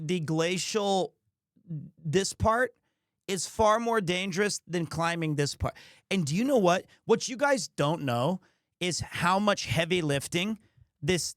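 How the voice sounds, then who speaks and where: High-pitched man chatting on a livestream. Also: a bit bright, dry recording, studio quality. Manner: joking, self-deprecating, rambling. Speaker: streamer, host. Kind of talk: casual livestream chat with viewers.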